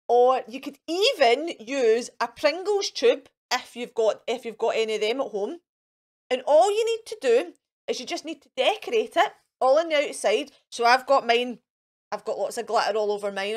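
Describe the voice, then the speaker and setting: A Scottish woman hosting a children's show in a chatty, encouraging way, with simple repeated phrases and questions to the young viewers. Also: high-pitched. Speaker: children's storytime host. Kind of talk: chatty children's craft and activity presenting to camera.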